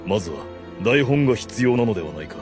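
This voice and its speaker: A man playing a grave, commanding undead ruler with pompous, measured phrasing in an anime audio drama. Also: medium pitch, lively intonation, over music, lightly acted. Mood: measured, doubtful.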